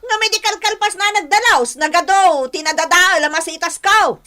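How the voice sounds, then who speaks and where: A woman's voice: very high-pitched, moderate pace, sharp tone. A woman acting in an Ilocano radio drama as a haughty, demanding homeowner with a Filipino accent, imperious and scolding. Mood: defensive, irritated. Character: haughty, demanding woman homeowner who scorns poor neighbors.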